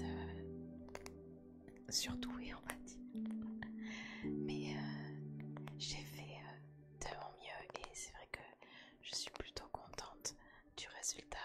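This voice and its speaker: A woman speaks in a slow, soft whisper, as in an intimate ASMR chat with one listener. Her phrases are short and hesitant, with pauses between them. Music plays prominently behind her voice. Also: rumbling low. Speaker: ASMR creator speaking as host to viewers.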